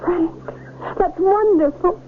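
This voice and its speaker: A woman's voice: very high-pitched, takes it slowly. An actress playing a hopeful, tender woman talking earnestly to her man in an old-time radio drama. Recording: tinny radio broadcast, faint noise.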